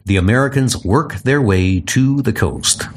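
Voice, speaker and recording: A man narrating a comic book audio drama in a theatrical, measured way, with music behind. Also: medium pitch, a little hiss or hum.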